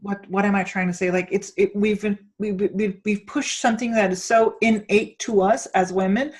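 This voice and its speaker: A woman from Denmark hosts a conversational podcast interview at a middling pitch. She thinks out loud, circling and rewording as she hunts for the right phrase. Her delivery is hesitant and rambling. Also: fairly even intonation, moderate pace, husky. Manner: hesitant, rambling, searching for words, thinking aloud.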